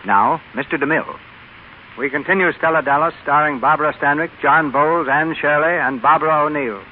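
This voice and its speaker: A man's voice: a little high, fairly quick. A man announcing and narrating an old radio theater broadcast in a formal 1930s style. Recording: tinny old radio recording with music.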